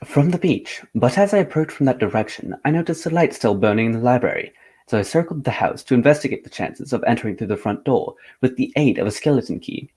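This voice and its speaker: An actor playing a composed, courteous gentleman detective in a radio drama, speaking in a formal, measured way. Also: medium pitch, lively intonation, slightly rough. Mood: earnest recounting.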